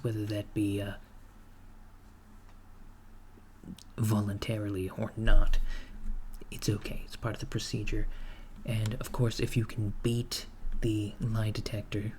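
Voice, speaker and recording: Henchman recruiter played by a man: soft near-whisper, unhurried, hesitant and matter-of-fact office manner, ASMR roleplay, noticeable background noise. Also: on the low side. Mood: matter-of-fact, reassuring. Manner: hesitant, deadpan, reassuring office politeness. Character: calm, matter-of-fact villain's henchman recruiter, male, mildly awkward. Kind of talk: ASMR roleplay, soft-spoken job interview. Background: computer keyboard typing.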